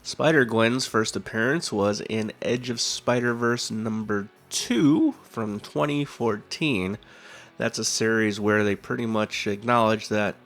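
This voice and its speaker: A man's voice: fairly low pitch, measured pace, fairly bright tone, faint music behind. A man with a cold, sounding nasal, chatting casually as the host of a drawing video and telling comic book history with plenty of fillers. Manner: rambling, easygoing fan storytelling with fillers.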